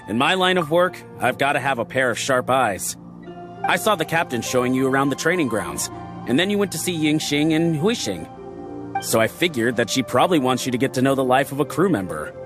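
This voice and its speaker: An actor playing a friendly male crew meteorologist who explains things in a relaxed, proud, instructive way, in a game's dialogue. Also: medium pitch, normal speed, close to an everyday voice. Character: friendly male ship weather-watcher, confident and chatty. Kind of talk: game character dialogue. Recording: game audio with faint background music.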